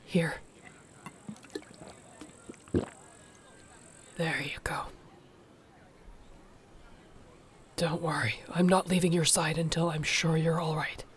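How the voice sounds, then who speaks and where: A woman playing a protective, tender orc warrior girlfriend in an intimate audio roleplay, speaking in a soft, earnest way. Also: deep voice, steady tone, moderate pace, close to an everyday voice. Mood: tender, relieved. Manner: soft, earnest, soothing, partly whispered.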